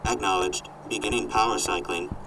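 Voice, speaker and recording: A man voicing an obedient robot in a post-apocalyptic audio drama, speaking in flat, formal system announcements. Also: fairly low pitch, normal speed, low-quality recording. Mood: mechanical, neutral. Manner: flat, formal, procedural delivery. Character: obedient robot companion reciting system protocols. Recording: clear background noise.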